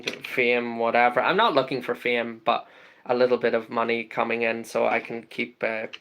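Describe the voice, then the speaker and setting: A man's voice: mid-range voice, a bit bright. A man from the UK hosting a casual live stream, greeting viewers by name and rambling with lots of ums and uhs.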